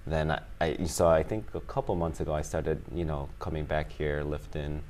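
A man, talking as an interview guest, halting with many restarts and fillers. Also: rumbling low, steady tone, darkish tone, slight background noise. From Chicago, USA. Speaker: guest, finance executive. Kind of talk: casual interview conversation.

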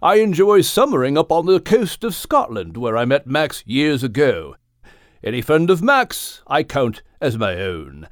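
A man's voice: medium pitch, sing-song, unhurried, no room sound, clean studio sound. Guy putting on a polite posh english whale, voice swoops all over the place. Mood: delighted. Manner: formal, cheerful, posh phrasing. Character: genteel male right whale, distinguished and courteous.

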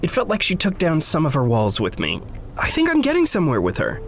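An actor playing an earnest, stubborn idealist in an audio drama, speaking in precise, formal, halting phrases. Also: male voice, a little high, wildly swooping pitch, slight background noise. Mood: defensive, intent. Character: earnest, stubborn idealistic man going undercover at a company. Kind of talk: naturalistic audio drama acting.

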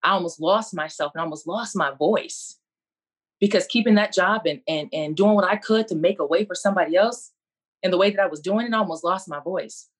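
A woman's voice: fairly low pitch, brisk, slightly rough. A woman coach speaking as a panelist on a video call, earnest and preacherly, repeating her key phrases. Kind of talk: impassioned faith-based speech on a video call.

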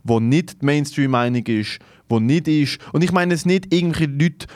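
A man's voice: on the high side, fairly even intonation, moderate pace, a little mellow, no echo, studio quality. A man with a Swiss German accent in casual, opinionated debate, swearing and dropping in English slang.

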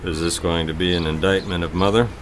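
A man playing a gentle, concerned middle-aged father in an audio drama, speaking in a halting, thoughtful way. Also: low voice, steady tone, background noise, lightly acted. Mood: wry, tentative.

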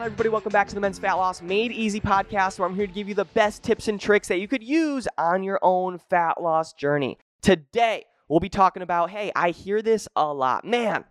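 A man's voice: sits high, normal speed, dry recording, studio quality, soft background music. A young man hosting his own podcast as a fitness coach, talking energetically and emphatically and repeating key phrases. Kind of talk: motivational solo podcast talk.

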